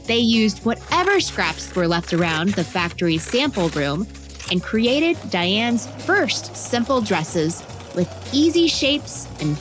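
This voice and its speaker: Woman narrating with lively, expressive pitch. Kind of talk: scripted storytelling narration of a biography for children.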